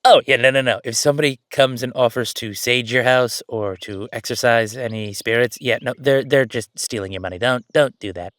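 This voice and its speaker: A man acts out an easygoing ghost, a handy guy in his thirties, chatting in an audio roleplay. He rambles casually and tosses in wry asides. The voice sits at a middling pitch in a very dry recording. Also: a little fast, hardly any pauses, a bit bright, no echo, close to an everyday voice. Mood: dismissive, amused. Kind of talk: conversational audio roleplay monologue.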